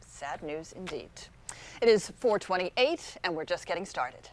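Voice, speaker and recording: A woman's voice: fairly low pitch, lively intonation, measured pace, over music. An American woman working as a morning news anchor, reading in broadcast style.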